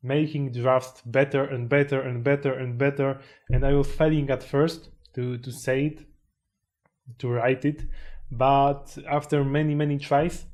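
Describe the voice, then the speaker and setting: A man's voice: medium pitch, flat delivery, measured pace, darkish tone. Hesitant young man, self-help vlog. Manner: hesitant, many fillers and restarts. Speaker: YouTuber.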